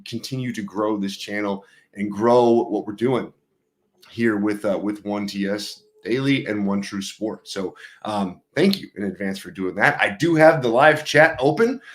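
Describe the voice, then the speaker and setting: A man hosting a live wrestling news show, chatty and upbeat, a bit hoarse from losing his voice. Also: on the low side, measured pace. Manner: upbeat, chatty, many fillers. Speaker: host. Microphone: streaming setup mic.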